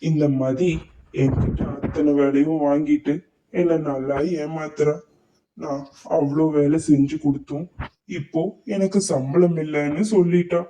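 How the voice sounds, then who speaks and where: A man's voice: a little high, steady tone, measured pace, warm tone, close to an everyday voice. A man voicing a weary, self-sacrificing sparrow husband and wronged worker in a children's cartoon story. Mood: hurt grievance. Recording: dull, slightly distant sound.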